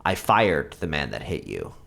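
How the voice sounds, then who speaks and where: A man voicing a calm, persuasive wealthy businessman. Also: rumbling low, moderate pace, creaky, full character voice.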